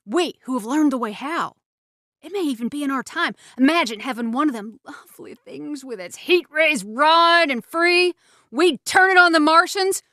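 A woman playing an embittered, power-hungry survivor soldier in a radio drama, intense and halting, swinging between hushed and fervent. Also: high-pitched, no room sound, studio quality. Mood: fervent, megalomaniac.

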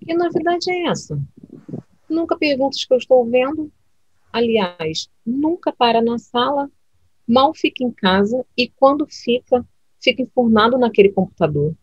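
A woman's voice: on the high side, lively intonation, normal speed, slightly rough, no echo, dull audio. An actress playing a humble, caring middle-aged mother who questions her grown son, in an audio drama. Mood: mildly surprised.

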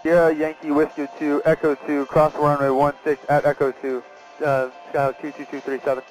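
A man's voice: fairly high pitch, monotone, brisk, faint music behind, low-quality recording. A man speaking as a pilot, reading back clipped phraseology over aviation radio. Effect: radio transmission.

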